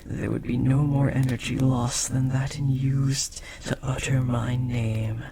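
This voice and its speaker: A man voicing a powerful, possessive and devoted demon in an intimate audio roleplay, speaking formally and intensely with dramatic pauses. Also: mid-range voice, flat delivery, gravelly, mellow, slightly rough, heavily acted. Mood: insistent, commanding.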